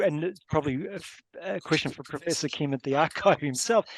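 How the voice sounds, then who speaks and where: A man's voice: a little high, no room sound, rough recording. A man moderating a webinar panel over a video call, asking long questions that wander and correct themselves. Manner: rambling, self-correcting questions. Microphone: video call microphone.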